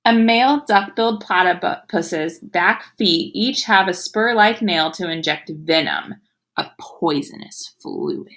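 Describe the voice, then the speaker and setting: A woman's voice: on the low side, big pitch swings, slow, a bit bright. A woman teacher reading aloud to young children, explaining as she goes in an instructive way and sounding out words. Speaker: elementary school teacher.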